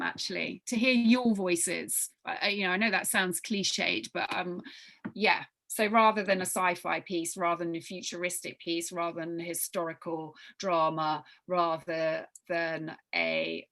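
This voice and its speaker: Bright-voiced woman giving an emphatic informal briefing. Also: lowish voice, vocal fry. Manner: emphatic, repeating words and phrases as she thinks aloud. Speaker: workshop leader briefing young writers. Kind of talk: informal spoken briefing with question and answer.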